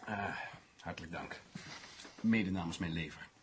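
A man's voice: low voice, unhurried, very noisy. An actor in a radio play playing a teasing, hard-drinking newspaperman with a pompous, mock-poetic way of talking. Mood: jovial, needling.

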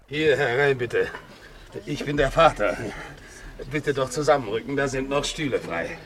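An actor in a 1950s German radio play, playing a coarse, obsequious tavern keeper who blusters and fawns. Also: male voice, medium pitch, expressive, normal speed, crowd noise, natural voice. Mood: bustling, servile. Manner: blustering with equals, servile with betters.

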